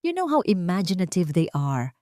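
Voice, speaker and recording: Woman voicing a scheming wealthy wife, honey-sweet voice, pitched up, wounded pleading, dry close audio drama sound. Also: sing-song, moderate pace, dark tone, dry recording. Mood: dismissive. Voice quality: sweet, syrupy voice. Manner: wounded, self-pitying pleading mixed with English.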